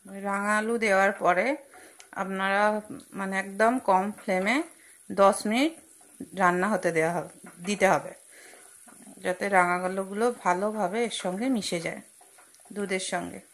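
A woman's voice: mid-range voice, steady tone, moderate pace, pauses often, fairly bright tone. A young woman hosting a home cooking video, chatting through each step of a dessert recipe with fillers and asides. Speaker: cooking channel host. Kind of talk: casual cooking demonstration voice-over. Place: home kitchen at a gas stove. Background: frying and sizzling from the pan.

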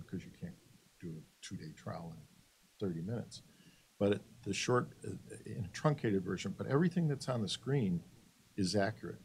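An older man with a gravelly, fairly low voice explains his film's story in a measured, factual way. He is a guest on a small TV studio set, speaking into a desk mic. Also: a little hiss or hum. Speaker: documentary co-creator, guest. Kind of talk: explanatory interview talk. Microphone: desk microphone on a boom.

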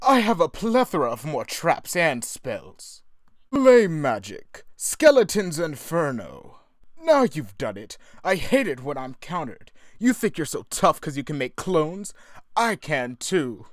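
A man's voice: a little high, very animated, unhurried, no echo, studio quality. A man playing a petulant, pent-up sorcerer villain in a dramatic, exclamatory manner. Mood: indignant.

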